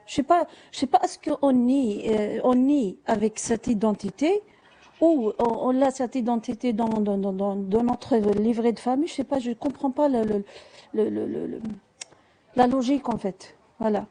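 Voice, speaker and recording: High, mellow-voiced woman, hesitant. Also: normal speed, low-quality recording. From Algeria. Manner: hesitant, searching for words, repeating small words. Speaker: live audio discussion participant. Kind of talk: casual personal testimony in a live discussion.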